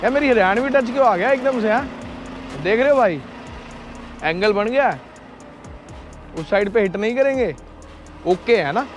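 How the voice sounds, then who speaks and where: A man's voice: very high-pitched, moderate pace, pauses often, soft background music. A man chatting casually and animatedly to viewers as a trucker vlogger. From India. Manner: animated, addresses viewers as brother. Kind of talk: casual vlog chat to viewers. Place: truck cab and snowy yard outdoors.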